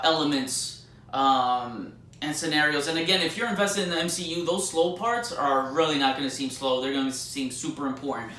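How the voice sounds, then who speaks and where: A man giving a casual, unscripted movie review, gushing excitably and often stammering over repeated words. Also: fairly high pitch. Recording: roomy echo, faint background noise.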